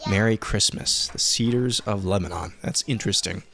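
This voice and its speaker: A man in his thirties with a lowish voice hosts a casual listener Q&A from home. He talks in a relaxed, conversational way, often restarting his sentences, and his voice is wearing hoarse. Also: normal speed. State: losing his voice. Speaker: podcast host.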